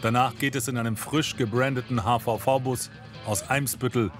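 A man's voice: medium pitch, fairly even intonation, normal speed, over music. A man narrating a scripted television news report.

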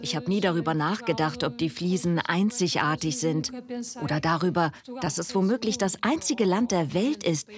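Woman voicing a low-pitched female documentary interviewee. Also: normal speed, a crowd in the background, close to an everyday voice. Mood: reflective. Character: female Portuguese tile preserver telling of her work. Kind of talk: translated documentary interview voice-over.

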